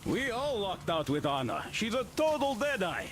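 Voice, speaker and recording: A man's voice: high voice, wildly swooping pitch, moderate pace, a bit bright, a little hiss or hum, heavily acted. An actor playing an easygoing male soldier, friendly and jovial, in a video game. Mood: admiring.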